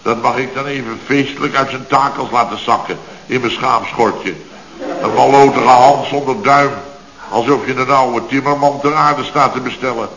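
An actor playing a blustering, indignant, pompous company boss in a radio comedy serial, speaking in mock-formal, long-winded phrases. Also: male voice, medium pitch, steady tone, normal speed, a crowd in the background, close to an everyday voice. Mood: exasperated. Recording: roomy, dull old radio recording.

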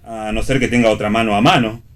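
An actor playing a wry, sententious amateur philosopher and palm reader in radio theatre. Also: male voice, on the low side, steady tone, fast, close to an everyday voice. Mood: mock-mystical. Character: wry male philosophizing would-be psychic, teasing and theatrical.

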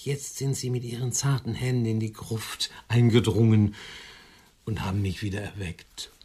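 An actor playing an elderly, lonely widower in a radio drama, courting with formal, awkward, old-fashioned politeness. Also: male voice, on the low side, steady tone, normal speed, dark tone, breathy edge. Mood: solemn, tender. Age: older.

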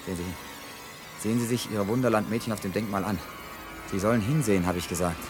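A man's voice: fairly low pitch, fast talker, over music, natural voice. An actor playing a tense, commanding and bitterly sarcastic man facing deadly danger in a science-fiction radio drama. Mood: bitterly sardonic.

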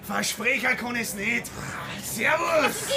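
An actor playing a brash, seedy drifter in a radio drama, loud, drawling and needling like a pushy old acquaintance. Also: male voice, very high-pitched, lively intonation, takes it slowly, crowd noise, heavily acted. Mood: defiant. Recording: loud guitar music behind the voice.

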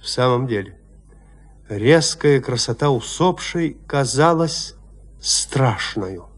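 Slow, dramatic male narrator. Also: medium pitch, lively intonation, faint music behind. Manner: measured, suspenseful, theatrical phrasing.

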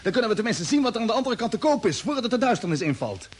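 An actor playing a determined, stubborn commander of a Mars expedition in a vintage radio drama, commanding and urgent. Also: male voice, high voice, very animated, rapid-fire, husky, full character voice. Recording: noisy vintage radio play recording.

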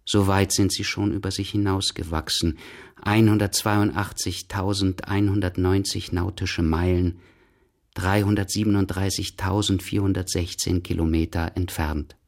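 Very deep-voiced male radio narrator. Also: little pitch movement, moderate pace. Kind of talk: scripted literary radio narration.

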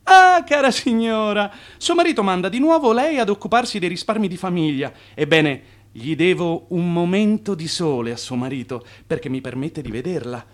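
An actor playing an eager, smooth-talking Italian immigrant hustler in a radio drama, flattering and flowery in his manner. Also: high voice, moderate pace, few pauses. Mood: flattering, courtly. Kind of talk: stage-like radio drama acting.

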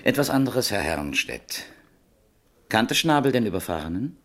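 An actor playing a stern, methodical police detective in a German radio crime drama, speaking in a firm, deliberate, matter-of-fact way. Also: male voice, fairly low pitch, very animated, a bit bright. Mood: businesslike.

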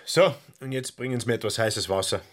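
A man acting a young small-time salesman in a radio play, medium in pitch. He is self-important and calculating, holding forth pompously in a Bavarian accent. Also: fairly quick, natural voice. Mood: brusque. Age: young adult. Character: young petty-bourgeois car dealer, self-important and calculating.

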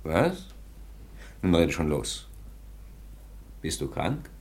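An actor playing a commanding police chief who gives curt orders in a radio play. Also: male voice, rumbling low, sing-song, very slow, darkish tone, full character voice.

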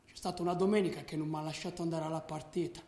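A restless young tearaway played by a man confesses without regret in a radio play. His voice is high with a gravelly creak, colloquial and matter-of-fact, in an echoey room. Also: fairly even intonation, very fast, darkish tone, lightly acted. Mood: matter-of-fact bravado. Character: restless delinquent young male football fan, unrepentant. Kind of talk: radio drama confessional monologue.

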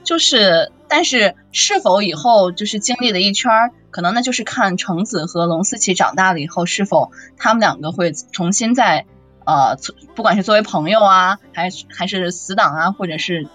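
A woman's voice: mid-range voice, expressive, normal speed, a bit bright. A woman voice actor in a casual chat, chatty and acting out imagined lines as she talks. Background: faint background music.

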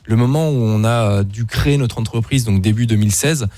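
A man's voice: on the low side, a little fast, mellow, no room sound. A man from Luxembourg speaking casually and informally, with slang, as a guest in an interview. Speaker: interview guest, online video creator.

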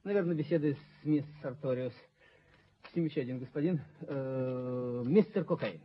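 A man's voice: mid-range voice, moderate pace, slightly rough, lightly acted. A man playing a timid, fawning, downtrodden rent collector in a radio play, hesitant and deferential in his speech. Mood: obsequious. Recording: dull, noisy 1970s radio recording.

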